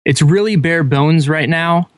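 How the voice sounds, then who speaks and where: A man's voice: a little high, a little slow, clear, resonant tone, dry recording. A man who is a guest on a podcast, explaining things in a matter-of-fact way. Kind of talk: casual podcast chat.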